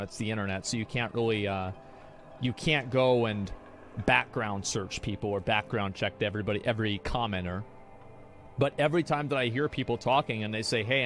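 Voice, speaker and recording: Streamer type, young man, argumentative, rambling, mid-range voice, low music bed. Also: fairly bright tone. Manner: rambling, argumentative, restarts often. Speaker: streamer reacting to chat. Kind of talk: casual opinion talk.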